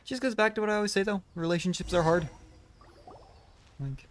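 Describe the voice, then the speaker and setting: A man's voice: high-pitched, brisk, a little hiss or hum. A man giving casual let's play commentary, musing and sympathetic, with a hesitant delivery close to the microphone. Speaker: streamer reacting to a game.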